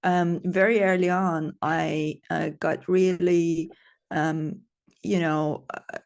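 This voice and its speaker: Gravelly-edged woman from Iran. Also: fairly low pitch, little pitch movement, takes it slowly, a little mellow. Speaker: guest, tech founder. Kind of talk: casual interview answers.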